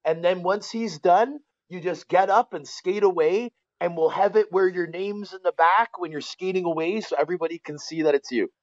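A man in his forties with a Canadian accent, telling stories casually as a podcast guest, colloquial and animated, often checking that the listener follows. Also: high voice, normal speed, few pauses, no room sound, highs cut off. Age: 40s. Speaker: former pro hockey player, interview guest.

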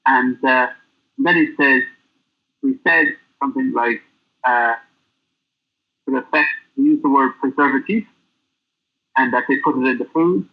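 A man being interviewed as a guest, telling stories in a rambling, halting way. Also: medium pitch. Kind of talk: casual interview chat. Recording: tinny phone-line audio.

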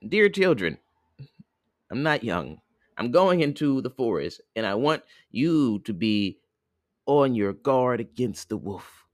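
A man's voice: mid-range voice, no room sound, clean studio sound, voice pushed up. A man voicing a caring mother goat from a storybook, earnest and cautioning.

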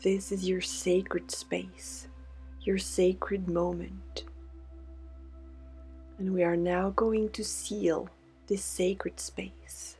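A woman guides a meditation in a soothing, deliberate way, with breathy half-whispered phrases. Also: medium pitch. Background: meditation music with singing bowl tones.

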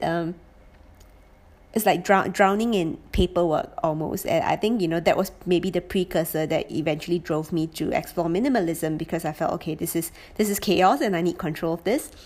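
A woman in her late twenties telling how her auditing career went, in a thoughtful, casual way with lots of pauses and fillers. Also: lowish voice, darkish tone. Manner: thoughtful, with frequent um fillers and restarts. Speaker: former auditor sharing her career experience. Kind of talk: casual reflective monologue.

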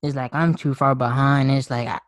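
A man's voice: medium pitch, fairly even intonation, fairly quick, dry recording. Young man, podcast host, laid-back joking chat, dry close-miked sound. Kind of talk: casual chat.

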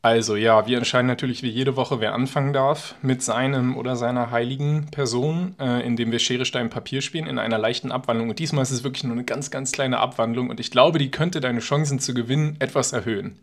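A man with a mid-pitched voice co-hosts a podcast. He talks casually, as if to a friend, and slips playful teasing into his storytelling. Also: fairly even intonation, fairly quick, few pauses. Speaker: podcast co-host.

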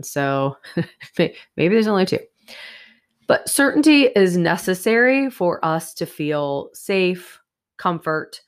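Stuffy-nosed woman hosting a podcast. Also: sits low. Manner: chatty, rambling, many fillers. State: stuffy, nasal from a sinus infection. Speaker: podcast host. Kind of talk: casual solo podcast. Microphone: new USB microphone.

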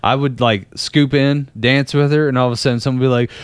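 A young man co-hosting a podcast, wandering through a story in a loose, rambling way and laughing as he goes. His voice sits at an ordinary middle pitch, and the recording is close and dry. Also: fairly even intonation, fast, clear, resonant tone, dry recording. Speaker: podcast co-host. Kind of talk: casual chat.

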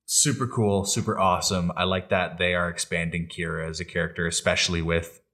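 Low-voiced man co-hosting a casual podcast. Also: level tone, a little fast, fairly bright tone. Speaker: podcast co-host. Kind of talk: casual news discussion.

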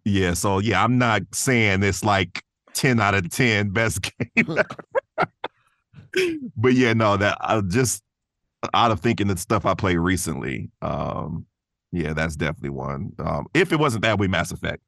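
A man's voice: deep voice, expressive, measured pace, gravelly, fairly bright tone, no echo, clean studio sound. A man chatting casually as a podcast guest, easygoing and thinking aloud as he goes. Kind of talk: casual chat.

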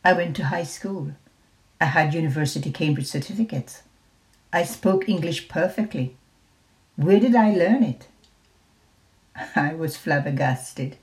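A woman, a retired nurse reading her own memoir in a measured, deliberate way. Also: sits low, a little mellow. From Jamaica. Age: 70s.

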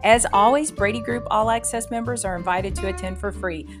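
A woman's voice: medium pitch, steady tone, fairly quick, music in the background. A woman hosting a podcast as a practice coach, speaking straight to her listeners in an emphatic way with rhetorical questions.

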